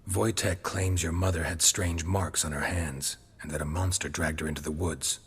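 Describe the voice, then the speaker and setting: Grizzled monster hunter, rumbling deep and raspy, dry and terse, in a reverberant space. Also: male voice, steady tone, normal speed. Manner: terse, dry, low-key. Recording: long hall reverb.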